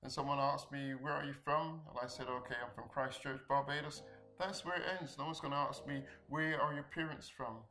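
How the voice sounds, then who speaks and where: A man from Britain with a mid-pitched, bright and forceful voice lays out his points one after another. His delivery is steady and assertive. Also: a little fast, soft background music. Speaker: documentary interviewee. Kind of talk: firm interview talk.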